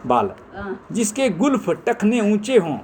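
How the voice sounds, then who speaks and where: A man's voice: high voice, big pitch swings, a little slow, a little mellow, slight background noise. A man reading scripture aloud in a formal way, phrase by phrase, in an amateur recording. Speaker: reader of scripture.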